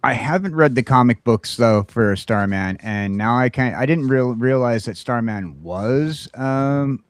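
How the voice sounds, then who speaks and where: A man's voice: medium pitch, animated, measured pace, no room sound. A man hosting a casual podcast who talks in an eager, chatty way and reads out viewer comments.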